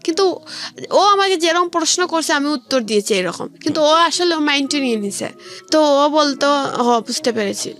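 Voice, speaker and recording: Young female radio guest, Bangladeshi accent, high voice, colloquial personal storytelling, light music bed underneath. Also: lively intonation, normal speed, a bit bright. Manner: colloquial, recounts conversations as direct quotes. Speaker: guest telling her life story. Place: radio studio. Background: background music.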